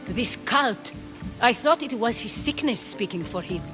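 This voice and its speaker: A woman's voice: a little high, lively intonation, a little slow, over music. Composed Athenian noblewoman. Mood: guarded worry. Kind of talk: dramatic game cutscene acting.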